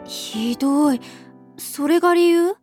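A high-voiced teenage girl played by a woman in a radio drama, earnest and persistent. She talks directly and also narrates. Soft music sits behind her voice. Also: slow pace. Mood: earnest encouragement. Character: earnest, persistent teenage schoolgirl learning ballet.

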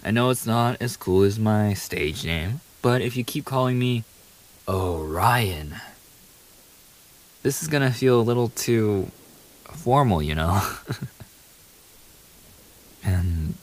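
A man's voice: on the low side, slow pace, pauses often, rough recording. A man playing a famous young pop idol boyfriend in an ASMR audio roleplay, playful, affectionate and eager, teasing the listener in a casual, intimate way. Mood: relaxed, affectionate. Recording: steady hiss behind the voice.

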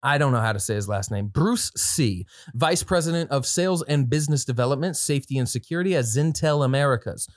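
A man's voice: mid-range voice, animated, fairly quick, hardly any pauses, no echo, clean studio sound. A man hosting a podcast, giving casual product commentary with informal, slangy asides and some reading from notes. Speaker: podcast host.

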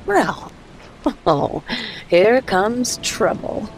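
Tough heroine: mid-pitched, firm, wry and decisive, radio-drama delivery over music. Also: female voice, big pitch swings, drawn-out words, heavily acted, rough recording. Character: tough, decisive female wasteland leader and mother. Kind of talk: old-time radio drama acting.

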